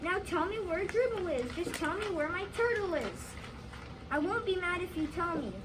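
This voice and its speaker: A woman's voice: extremely high pitch, expressive, moderate pace, voice pushed up. A young female reader voicing an upset, possessive fourth-grade boy in an emphatic readers theater style. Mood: frantic, pleading. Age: child reader, fourth grade. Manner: emphatic, pleading. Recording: distant microphone, clear background noise.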